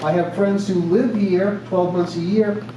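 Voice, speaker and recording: A man's voice: high-pitched, steady tone, measured pace, crowd noise. An older man from New York speaking up at a community meeting in a plainspoken, emphatic way, often repeating his points. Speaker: community member. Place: large echoey meeting room. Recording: echoey room, distant mic, background hum.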